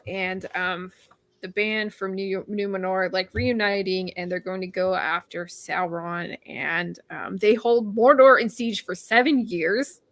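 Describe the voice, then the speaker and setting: A woman hosting a casual book discussion, recapping the story in an animated way with frequent laughter and fillers. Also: medium pitch, no echo. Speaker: host of a book read-along.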